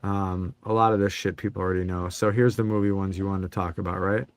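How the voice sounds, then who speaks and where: A man leads a casual chat about collectible figures. He talks in a relaxed, meandering way, teasing his co-hosts along the way. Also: deep voice, fast, clean tone, dry recording. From Chicago suburbs. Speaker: podcast co-host.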